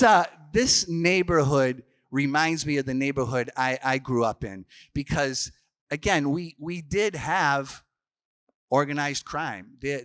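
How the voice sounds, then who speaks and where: A man's voice: medium pitch, a bit bright, no room sound, clean studio sound. A man, a Franciscan priest telling stories in a casual youth workshop talk, full of restarts and fillers. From Boston, Massachusetts. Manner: chatty storyteller, frequent restarts and fillers.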